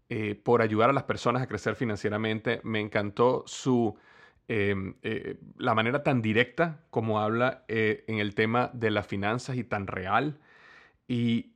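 A man hosting a podcast, introducing a guest and reading a sponsor ad in an eager, friendly way with frequent fillers. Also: medium pitch. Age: young adult to middle-aged, has a son. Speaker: podcast host.

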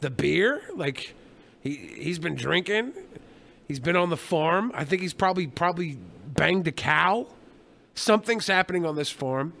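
A man's voice: on the high side, expressive, unhurried, vocal fry, a bit bright. A man co-hosting a podcast with casual, crude banter. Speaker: podcast co-host.

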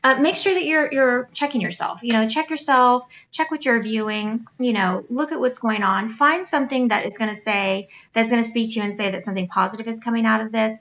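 A woman with a high, sweet voice, co-hosting a casual chat and earnestly encouraging her listeners. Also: a little fast, hardly any pauses, a bit bright, highs cut off.

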